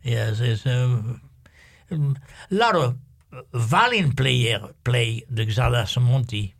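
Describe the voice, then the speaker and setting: An older man from Algeria, a guest musician, talking in a casual interview in a halting way, with many pauses and fillers. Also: mid-range voice, animated, gravelly, fairly bright tone.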